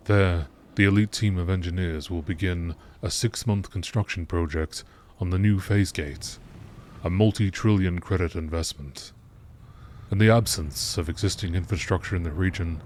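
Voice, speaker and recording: A man reading game lore as scripted nature-documentary narration, in a measured, deliberate and formal manner. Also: sits low, a little mellow, slight background noise.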